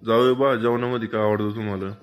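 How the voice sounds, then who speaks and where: A man's voice: on the low side, fairly even intonation, fast talker, natural voice. A man playing a placating middle-aged father-in-law in a comedy cartoon, with a rural Maharashtrian accent. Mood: conciliatory. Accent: rural Maharashtrian Marathi. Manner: soothing, conciliatory to his guest. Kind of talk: comedy cartoon dialogue in colloquial Marathi.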